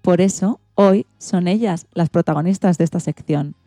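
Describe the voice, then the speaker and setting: A woman with an accent from Spain presents a radio show from a script. Her voice is fairly low and soft-edged, recorded very dry and close. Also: normal speed, no echo, faint music behind. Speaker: radio program host.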